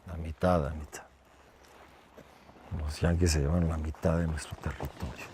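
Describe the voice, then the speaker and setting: A man's voice: rumbling low, flat delivery, normal speed, darkish tone, a little hiss or hum. An actor playing a bitter nineteenth-century man grieving lost territory in a period film, with a heavy, grim delivery.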